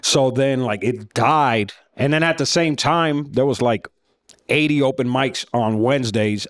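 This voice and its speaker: Loud, deep-voiced blunt man. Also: unhurried, dry recording, clean studio sound. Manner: blunt and frank, swears casually. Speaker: comedy club co-owner, guest. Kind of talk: casual interview talk.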